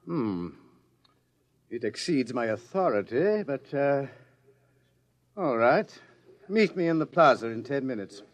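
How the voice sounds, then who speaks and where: An actor playing a suave, self-indulgent pursuer from the future in a vintage radio drama, urbane, leisurely and mocking. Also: male voice, medium pitch, big pitch swings, takes it slowly, long pauses. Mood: cool menace. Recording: old radio drama recording.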